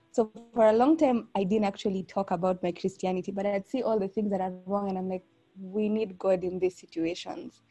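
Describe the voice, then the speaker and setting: A woman from Kenya, a pastor, speaks in a relaxed, reflective way on a podcast. Her voice is mellow and soft-edged, sitting at an everyday pitch. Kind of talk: casual podcast chat.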